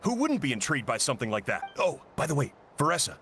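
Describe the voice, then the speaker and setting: A man's voice: medium pitch, wildly swooping pitch, fast talker, fairly bright tone, slight background noise. A man playing a friendly, genial village uncle who explains things in a video game. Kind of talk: video game character acting.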